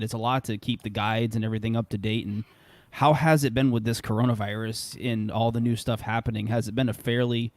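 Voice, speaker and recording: Мужчина-ведущий подкаста, речь с повторами, сухая запись. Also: male voice, mid-range voice, no room sound. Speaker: podcast host. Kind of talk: casual podcast chat.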